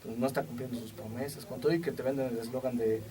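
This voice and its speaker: A man's voice: medium pitch, steady tone, fast, a crowd in the background. A man with a Mexican accent hosting a conversational radio interview, often ending his points with a tag question. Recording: distant mic, faint background noise.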